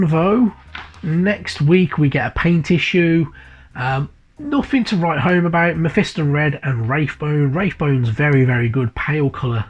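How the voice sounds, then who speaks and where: A man's voice: fairly high pitch, breathy edge, muffled recording. A man with a cold and a sniffly voice, casually reviewing a hobby magazine in a rambling way, with many fillers and repeated words. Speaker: hobby channel host. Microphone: voice-over above tabletop camera.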